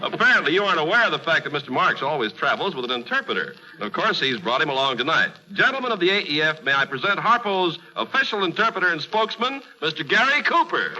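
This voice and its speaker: A man announcing on a 1940s live radio variety show, introducing guests in a mock-formal, wordy, playfully halting way. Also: on the high side, sing-song, highs cut off. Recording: noisy old radio recording with clicks.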